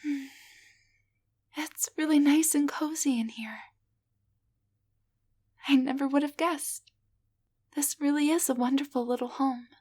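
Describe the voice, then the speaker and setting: A woman voicing a timid, grateful tiny enchanted doll in an intimate roleplay audio drama, speaking softly, gently and haltingly with a breathy voice. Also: sits high, fairly even intonation. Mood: shy wonder. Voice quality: soft, breathy.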